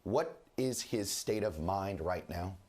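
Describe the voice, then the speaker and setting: A man anchoring a news broadcast and interviewing a guest, speaking with a rough, hoarse voice while under the weather. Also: sits low, animated, a crowd in the background. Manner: measured, formal newsreader delivery.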